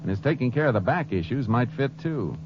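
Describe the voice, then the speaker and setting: An actor playing a calm, steady male Texas Ranger in a 1950s radio drama, laconic and even-tempered. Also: medium pitch, brisk, close to an everyday voice. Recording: noisy, dull old radio transcription.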